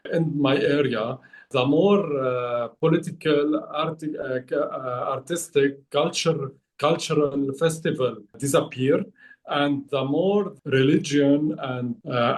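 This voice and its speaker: Lebanese-accented man speaking haltingly. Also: medium pitch. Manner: halting, frequent "uh" pauses, searching for words. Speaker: guest, political activist and columnist. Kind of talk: interview answer.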